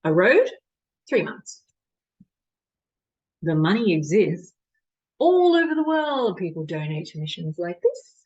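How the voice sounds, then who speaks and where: Woman acting a slow, world-weary mission doctor. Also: on the low side, very animated, a little mellow, muffled recording. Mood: wry, probing. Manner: deliberate, measured, with dramatic pauses. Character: disillusioned, world-weary colonial mission doctor, bitterly candid. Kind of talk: theatrical stage monologue.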